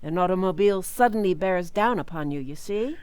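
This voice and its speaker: A woman playing a brisk, clinical psychiatrist, commanding and methodical, in a radio mystery drama. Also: lowish voice, expressive, natural voice. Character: brisk, clinical female psychiatrist testing a patient.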